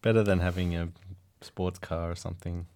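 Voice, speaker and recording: A middle-aged man co-hosting a radio show in casual chat, dry and deadpan with short interjections. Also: low-pitched, normal speed.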